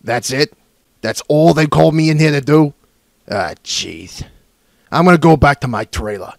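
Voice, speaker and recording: A man acting a grumbling, sarcastic performer who complains about his tiny part, on a slightly high male voice. The sound is dry and close, with no room around it. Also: lively intonation, normal speed, creaky, dry recording. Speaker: fan voice actor. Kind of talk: fan dub bloopers.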